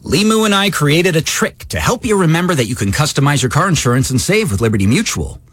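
An actor playing an upbeat insurance spokesman in a comic commercial, explaining in a deadpan-cheery chain of logic. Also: on the high side, fast talker, faint music behind.

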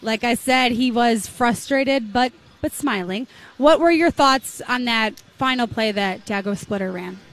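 A woman with a slightly raspy voice hosting a courtside postgame basketball recap in an upbeat, conversational way. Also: on the high side, measured pace, a bit bright. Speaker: courtside sports reporter and host. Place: courtside at an arena.

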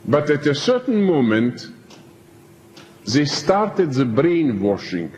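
An older man, speaking slowly and emphatically as he recounts his prison experience to an audience. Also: a little high, very animated, a little mellow, a little hiss or hum. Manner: slow, deliberate, emphatic phrasing with pauses. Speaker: preacher telling his prison story. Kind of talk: slow spoken testimony to an audience. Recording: dull lo-fi archive tape in a reverberant hall.

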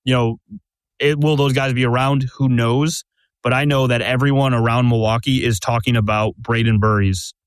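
A man's voice: mid-range voice, steady tone, normal speed, smooth, no room sound. A man hosting a casual sports podcast, talking in a conversational way, full of fillers, and steering the talk with questions. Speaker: podcast host. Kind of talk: casual chat.

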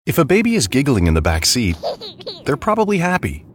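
A man narrates a public service safety spot in a conversational, expressive style. His pitch moves a lot, and faint ambience sits behind him. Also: brisk, a little hiss or hum. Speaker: announcer.

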